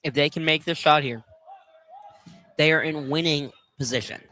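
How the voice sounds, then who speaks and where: Bright, forceful male basketball commentator. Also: on the high side, moderate pace. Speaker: color commentator. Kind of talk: live college basketball radio commentary. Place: indoor college field house during a game. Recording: live gym broadcast with background noise.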